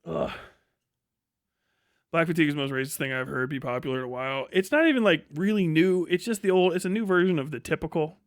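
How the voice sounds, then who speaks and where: A man's voice: a little high, quick pace, dry recording, clean studio sound. A man live streaming a casual, opinionated monologue in a profane, slangy, rambling and emphatic way.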